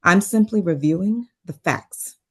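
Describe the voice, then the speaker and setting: A woman playing a composed but strained doctor, quietly confrontational, in a table read. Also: fairly low pitch, a little slow, breathy edge.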